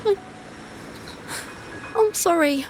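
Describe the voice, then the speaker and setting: A woman's voice: very high-pitched, very slow, a little mellow, a little hiss or hum, full character voice, poor audio. An actress voicing a timid, desperate young vagrant girl in a video game, with a hesitant, earnest manner. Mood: anxious, apologetic. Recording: studio voice under game music.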